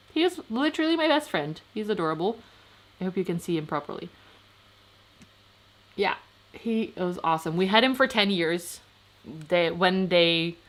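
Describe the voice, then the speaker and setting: A woman's voice: medium pitch, fairly bright tone. A Dutch woman in her thirties, chatting casually on a livestream as she answers comments, rambling, with frequent fillers and restarts. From Netherlands. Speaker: vlogger.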